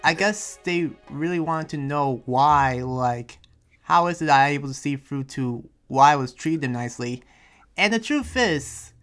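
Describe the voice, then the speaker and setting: A man's voice: on the high side, a bit bright. Man playing a hesitant, earnest anime townsman. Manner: hesitant, rambling explanations full of pauses. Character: friendly, earnest demon-sheltering townsman who senses lies. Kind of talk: improvised anime video game roleplay.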